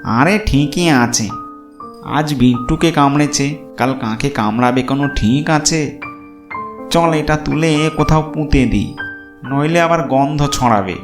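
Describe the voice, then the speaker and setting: A man's voice: on the high side, a little slow, lightly acted. A man voicing a callous village man in a cartoon, brusque and self-justifying. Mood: dismissive. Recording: roomy echo, music behind.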